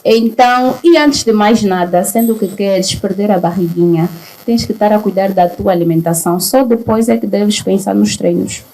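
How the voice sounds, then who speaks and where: A woman's voice: mid-range voice, normal speed, warm tone, low-quality recording. A woman speaking as a guest fitness trainer, giving plain-spoken, encouraging advice to an audience member.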